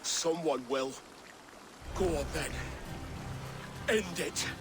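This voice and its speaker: An actor playing a grim, loyal, defeated soldier in a video game, grave and terse. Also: male voice, fairly high pitch, drawn-out words, over music. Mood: defiant. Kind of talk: dramatic video game acting. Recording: loud ambient noise like rain.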